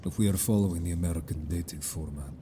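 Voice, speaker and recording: A man playing a stern, blunt merfolk companion in an audio drama, formal and measured in speech, suspicious of humans. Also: sits low, background noise, natural voice. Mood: grave, probing.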